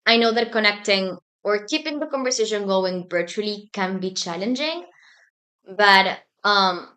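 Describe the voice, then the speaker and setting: A woman's voice: mid-range voice, lively intonation, pushed and bright. Young woman, thoughtful guest. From Argentina. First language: Spanish. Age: young adult. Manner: thoughtful, measured, frequent ums. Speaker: student society president, guest. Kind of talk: casual interview talk.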